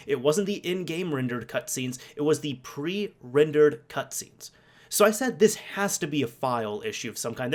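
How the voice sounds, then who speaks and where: A man talking casually to viewers as a video game YouTuber, conversational and emphatic, repeating points for stress. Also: a little high. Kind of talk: casual video commentary with personal anecdotes.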